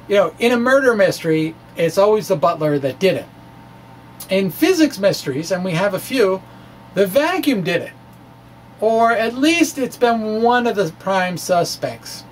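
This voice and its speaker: A man giving a dramatic, halting reading of his physics blog, with self-deprecating off-script asides. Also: sits high, slight background noise. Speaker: physics blogger presenting his own talk.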